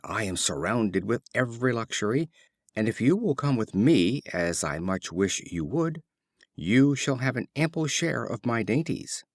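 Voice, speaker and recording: Male narrator as storybook town mouse: grand, persuasive, boastful, with lively pitch movement in a dry recording. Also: measured pace, dry recording.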